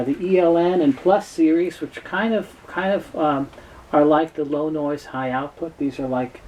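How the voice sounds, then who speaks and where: A man giving an informal explainer talk as a product presenter, speaking in a halting, explanatory way. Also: fairly high pitch, warm tone, low-quality recording. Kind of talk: informal explainer talk about audio gear. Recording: clear background noise.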